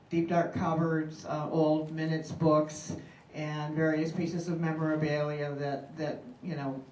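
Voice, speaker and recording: Woman member, halting, filler-heavy report; far off in an echoey hall. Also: fairly low pitch, darkish tone, slight background noise. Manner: rambling, halting, with many fillers. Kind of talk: casual spoken report. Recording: roomy hall, speaker far from mic.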